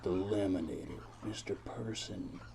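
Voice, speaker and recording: A man's voice: sits low, a little slow, creaky, warm tone, exaggerated voice. A man playing a world-weary, brooding private detective in a noir audio drama, narrating in florid, rambling, repetitive phrases. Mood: brooding, groggy.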